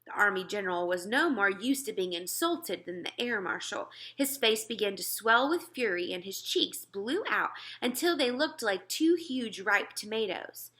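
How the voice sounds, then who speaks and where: A woman reading a children's storybook aloud in an expressive narrating style. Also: mid-range voice, normal speed, few pauses. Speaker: storybook reader.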